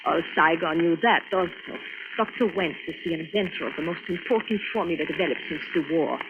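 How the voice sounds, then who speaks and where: An actress playing an anxious young adventuress, dramatic and earnest, in an old radio serial. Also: female voice, fairly low pitch, lightly acted. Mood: earnest, intent. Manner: dramatic, earnest, deliberate phrasing. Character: anxious young foreign adventuress from the Saigon underworld. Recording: noisy, narrow-band old radio recording.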